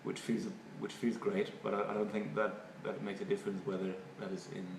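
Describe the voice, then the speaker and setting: Distant noisy recording, man, German accent, native German speaker, halting and thoughtful, interview answers. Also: fairly low pitch, flat delivery, creaky. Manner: halting, thoughtful, repeats words. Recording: echoey room, far from mic, noisy.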